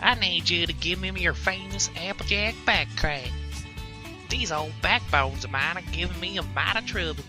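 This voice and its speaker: A man's voice: sits high, moderate pace, lightly acted. A man voicing a sleepy, cranky old granny pony with a folksy, grumbling way of talking. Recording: music playing behind the voice.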